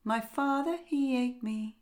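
A woman's voice: a little high, voice pushed up. A woman chanting as an eerie ghost-bird. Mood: haunting chant. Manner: slow, sing-song chanting. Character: eerie singing bird, spirit of a murdered boy.

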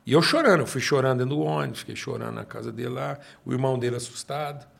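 A man in his sixties with a Brazilian accent, a pastor telling his own story in conversation and slowing down to stress his points. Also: medium pitch, vocal fry. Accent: Brazilian Portuguese. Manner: emphatic, slows down to stress points.

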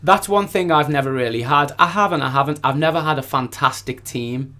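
A man's voice: on the high side, a little fast, sharp tone. A man who is a recording artist, chatting casually and informally in a studio interview, often repeating phrases for emphasis.

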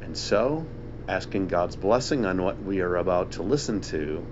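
A man leading a church service, introducing scripture readings in a deliberate, solemn manner. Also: sits low, expressive. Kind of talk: measured spoken introduction to a sermon. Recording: dull lo-fi recording with clear background hum.

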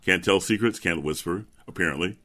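A middle-aged opera bass singer, a man with a deep, resonant speaking voice, chatting easily as an interview guest and telling stories with ready laughs. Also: brisk, a bit bright. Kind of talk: relaxed interview chat.

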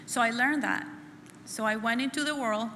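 A middle-aged woman from El Salvador, sharing her personal testimony at a church women's conference in an earnest, halting way, often repeating phrases. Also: a little high. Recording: echoey hall with faint room noise.

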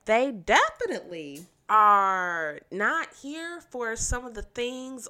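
A woman's voice: mid-range voice, bright, forceful tone. Stuffy, blunt young woman podcaster. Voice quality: nasal, congested. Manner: blunt, sassy, emphatic. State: stuffy from a cold. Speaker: podcast host. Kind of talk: casual political commentary.

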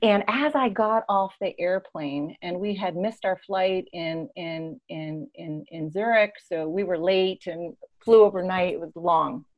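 A woman's voice: lowish voice, a little slow, few pauses. Muffled recording, woman telling reflective stories. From born and raised in East Africa. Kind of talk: reflective personal storytelling.

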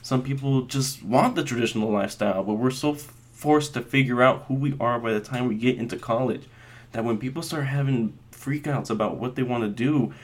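An earnest young man in his twenties hosts a casual solo podcast. His voice sits at an ordinary mid pitch, and he rambles through his thoughts with plenty of fillers and restarts. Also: fairly quick. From Las Vegas, US. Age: 20s. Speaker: podcast host.

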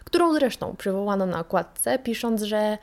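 A woman's voice: mid-range voice, lively intonation, normal speed, darkish tone. A woman presents a radio book review in an enthusiastic, conversational way as the host. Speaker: radio host recommending books.

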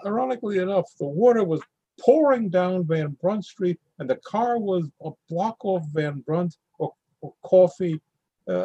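An actor playing a man who tells of driving through flooded streets in a vivid, animated way. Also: sits high, breathy edge, muffled recording, full character voice. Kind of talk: readers theatre monologue.

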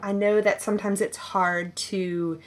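Woman host, middle pitch, encouraging, casual interview chat. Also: level tone, unhurried, a little hiss or hum. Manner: warm, encouraging, says like often. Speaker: podcast host.

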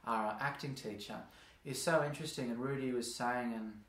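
A man's voice: mid-range voice, moderate pace, a bit bright, echoey room. A man, interviewing casually, rambling and searching for his words. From Australia.

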